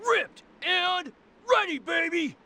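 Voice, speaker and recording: A man voicing an aggressive, boastful cartoon drill-instructor type, barking with a strained gravelly voice in a video game. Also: very high-pitched, animated, slow pace, bright, forceful tone, exaggerated voice. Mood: gleefully aggressive. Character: aggressive, pumped-up drill-instructor tough guy.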